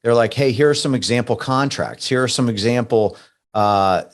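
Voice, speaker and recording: A man's voice: medium pitch, moderate pace. Rambling, easygoing male podcast host. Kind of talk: casual conversational interview.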